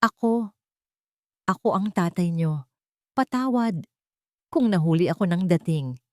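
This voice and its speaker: A woman voicing a soft-spoken dying father. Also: lowish voice, expressive, unhurried, no echo. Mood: tender. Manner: soft, faint. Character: wounded young father, gentle and dying.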